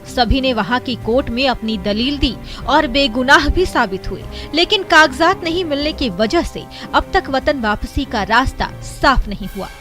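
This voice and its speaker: High-voiced woman reading news voice-over. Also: expressive. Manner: measured, formal delivery. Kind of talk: scripted news narration. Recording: voice-over with loud music bed.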